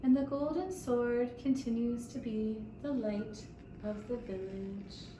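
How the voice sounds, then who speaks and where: Female kindergarten storyteller, higher-pitched, extremely unhurried, deliberate pauses, heard in an echoing room. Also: mellow. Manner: measured, deliberate phrasing with long pauses. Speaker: storyteller for a kindergarten puppet show. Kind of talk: slow scripted storytelling for young children. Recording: roomy, distant mic with faint noise.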